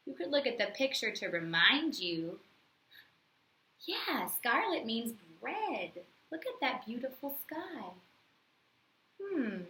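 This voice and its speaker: Female bedtime-story reader for small children: highish, unhurried, expressive, teacherly, pausing to question the listener. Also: reverberant, low-quality recording. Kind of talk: bedtime story read-aloud, talking to young children.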